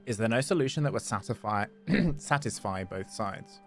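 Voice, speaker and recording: A man's voice: lowish voice, lively intonation, normal speed. A man livestreaming a video game, reacting playfully and reading along. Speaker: game streamer. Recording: faint game music behind.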